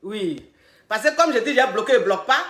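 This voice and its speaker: A woman with a slight head cold, giving coaching advice on a live stream, repeating herself and bursting out loudly on key points. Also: on the high side, expressive, quick pace, reverberant. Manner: emphatic and repetitive, loud bursts on key points. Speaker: relationship coach.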